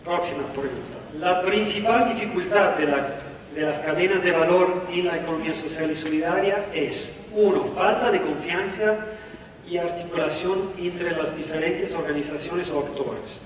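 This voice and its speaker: A man presenting at a conference session, reading out numbered points in a measured way. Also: fairly high pitch, recorded from a distance, slight background noise. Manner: enumerating, measured. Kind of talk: reading poll results aloud. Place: large conference hall. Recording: echoey hall, voice from afar.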